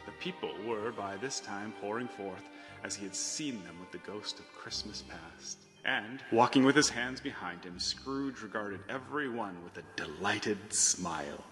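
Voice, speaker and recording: A man narrating a classic tale in a scripted, dramatic storybook style. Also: lowish voice. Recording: long hall reverb, music behind.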